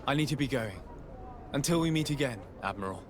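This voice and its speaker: A man playing a composed, diplomatic young noble legate in a video game, speaking formally and in measured, articulate phrases. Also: mid-range voice, animated, lightly acted. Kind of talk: video game cutscene acting. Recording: noisy outdoor ambience behind the voice.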